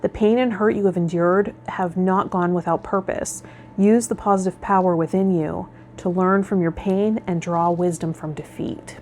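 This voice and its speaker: A woman hosting a tarot vlog, speaking in a measured, instructive way as a spiritual guide. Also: fairly low pitch, steady tone, a little mellow, slight background noise. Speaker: host of a tarot and spiritual healing channel. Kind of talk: tarot vlog, part read-out guidance, part informal talk.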